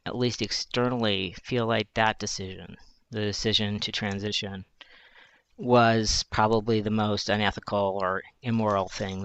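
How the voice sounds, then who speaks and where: Soft-spoken man with a high voice. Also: creaky, no room sound, lo-fi sound. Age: 40s. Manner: thoughtful, searching, with pauses and restarts. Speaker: journalist. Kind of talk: reflective personal monologue.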